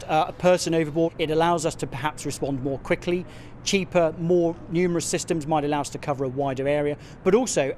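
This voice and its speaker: Male interviewee, slightly high pitch, unscripted, faint outdoor rumble. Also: a little mellow.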